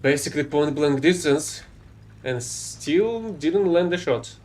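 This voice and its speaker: A man's voice: fairly high pitch, lively intonation, slow, a little mellow. A young man who speaks English as a second language, rambling and correcting himself as he casually commentates his own gameplay. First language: not English. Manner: rambling, self-correcting, mildly exasperated. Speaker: gameplay streamer.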